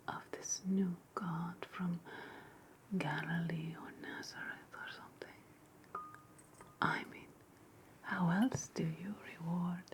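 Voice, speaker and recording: A woman playing a sinister, sardonic ancient Roman witch in a whispered ASMR roleplay, speaking deliberately and ritualistically, often repeating phrases. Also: lowish voice, a little hiss or hum. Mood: solemn, secretive, then bitter, sarcastic.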